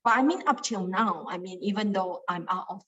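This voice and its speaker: Young Malaysian woman, Cantonese native, speaking accented English in a halting, filler-heavy personal share. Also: mid-range voice, a bit bright, husky, lo-fi sound. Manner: hesitant, many fillers and repeated words. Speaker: study group member sharing experience. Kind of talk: personal sharing talk.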